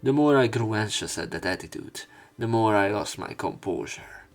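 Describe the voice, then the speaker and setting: A man with a lowish voice does a let's play of a visual novel. He reads the text aloud theatrically and breaks off for his own commentary. His delivery is expressive. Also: moderate pace. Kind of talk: dramatic read-aloud with commentary.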